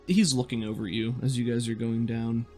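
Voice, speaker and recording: A man's voice: medium pitch, flat delivery, brisk, dark tone, faint music behind. A man working as game master, narrating a tabletop game in a descriptive, conversational way as he thinks aloud.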